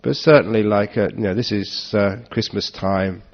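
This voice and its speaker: A low, gravelly man gives an easygoing Dharma talk, breaking into jokes and chuckles as he answers the audience. The recording sounds dull and lo-fi. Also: moderate pace. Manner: relaxed, joking, chuckles often. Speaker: monk giving a Dharma talk.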